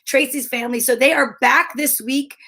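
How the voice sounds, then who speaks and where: A woman with a high voice hosts a casual live stream. She is chatty and enthusiastic, talking informally to viewers and guests. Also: fairly even intonation, moderate pace, vocal fry, sharp tone. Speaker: show host.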